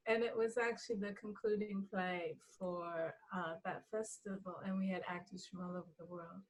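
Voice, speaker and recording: A woman being interviewed as a guest, answering reflectively in a halting but emphatic storytelling manner. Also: medium pitch, fairly even intonation, rough recording.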